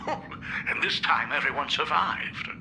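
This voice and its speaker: An actor voicing a doting, excitable middle-aged artist father in a video game, theatrical and effusive. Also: a little high, a little slow, vocal fry, faint music behind, full character voice. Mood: eager, chuckling. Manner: theatrical, effusive, chuckles often. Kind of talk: animated video game voice acting.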